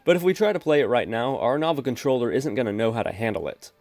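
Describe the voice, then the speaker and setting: Steady man narrating a coding tutorial. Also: mid-range voice, fast, dry recording. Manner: steady explanatory, addresses viewers as folks. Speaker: programming tutorial host. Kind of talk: screen-recorded coding tutorial.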